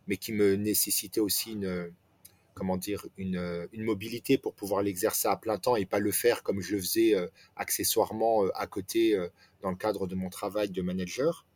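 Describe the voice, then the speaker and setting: Lowish-voiced Frenchman recounting his career. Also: a little mellow. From France. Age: 40s. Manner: explanatory, poses questions to himself and answers them. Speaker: interview guest, entrepreneur. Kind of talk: casual personal storytelling in an interview.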